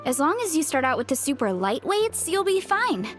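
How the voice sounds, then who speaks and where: A woman's voice: high voice, big pitch swings, normal speed, a bit bright. A woman voicing a cheerful, easygoing young fruit seller in an anime-style video game, friendly and earnest. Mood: friendly, encouraging. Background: game music.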